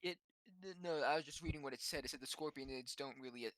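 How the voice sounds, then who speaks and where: A man's voice: mid-range voice, fast, fairly bright tone, no echo. A man playing a tabletop game, chatting informally and jokingly with the group. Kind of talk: casual game-table chat.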